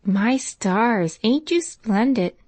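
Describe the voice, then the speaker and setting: A woman's voice: a little high, lively intonation, takes it slowly, darkish tone, dry recording. A woman voicing a humble, grateful poor servant girl with plain, homely speech. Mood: earnest.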